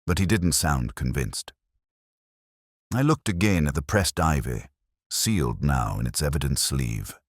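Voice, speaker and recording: Man, extremely low pitch, expressive intonation, weary inspector narrating a detective drama, brooding and measured, literary phrasing. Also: no echo, studio quality. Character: weary, reflective male police inspector recalling a case. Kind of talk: scripted first-person audio drama narration.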